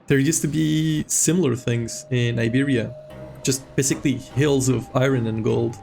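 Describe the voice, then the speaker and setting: A male streamer talks off the cuff over a game in a creaky, mid-pitched voice. He explains things haltingly and informally. Faint music sits behind him. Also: fairly even intonation, darkish tone. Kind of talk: casual rambling chat over gameplay.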